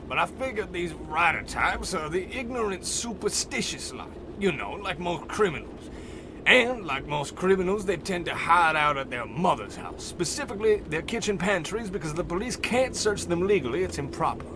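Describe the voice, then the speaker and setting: A man's voice: high-pitched, expressive, moderate pace, background noise. A man playing a cocky, wisecracking hired goon with a blustery tough-guy manner in an old-time radio comedy. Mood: cocky, chatty.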